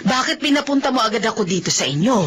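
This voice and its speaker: An actress playing an elderly mother and grandmother in an audio drama, chatty and quick to exclaim. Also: a little high, very animated, noisy background. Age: older. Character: caring elderly mother and grandmother, fussy but warm. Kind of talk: Filipino radio-style audio drama.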